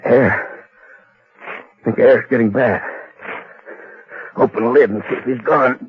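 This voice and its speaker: A man's voice: medium pitch, measured pace, slightly rough, no room sound. An actor playing a terrified businessman, frantic and pleading, in a melodramatic old radio style. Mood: panicky muttering. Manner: frantic, breaking into cries. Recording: dull, noisy old radio recording.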